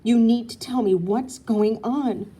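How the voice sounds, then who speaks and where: A woman's voice: on the high side, measured pace, warm tone, husky, pitched above the performer's own voice. A woman voicing a hurt, loving wife and mother in a storybook, pleading with urgent feeling. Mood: angry, hissing. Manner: tender, urgent.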